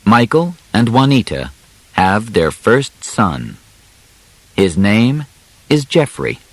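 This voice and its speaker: Male audiobook narrator, unhurried and deliberate, phrase by phrase, very dry close sound. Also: lowish voice, sing-song, no room sound, rough recording. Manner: deliberate, clearly separated short phrases. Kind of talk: scripted narration, graded-reader storytelling.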